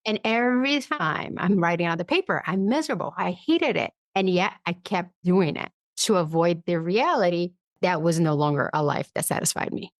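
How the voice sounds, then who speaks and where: A woman guest in a conversational interview, explaining ideas through personal examples and acting out her inner dialogue in an animated way. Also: fairly low pitch, normal speed, hardly any pauses, dry recording, studio quality. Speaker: podcast guest, psychologist and author.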